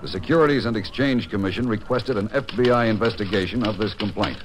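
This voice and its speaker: A man's voice: on the low side, quick pace. A man reading scripted narration for a vintage radio crime drama. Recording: old radio broadcast recording. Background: dramatic music.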